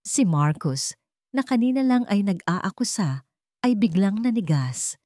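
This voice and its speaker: A woman's voice: medium pitch, big pitch swings, unhurried, warm tone, no echo, studio quality. A woman narrating a scripted dramatic story as its storyteller.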